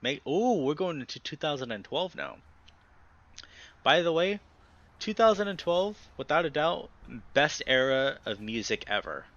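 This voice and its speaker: A man's voice: on the high side, measured pace, muffled recording. A man hosting a let's play, reading a visual novel aloud and commenting casually and expressively. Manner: expressive reactions, swears casually.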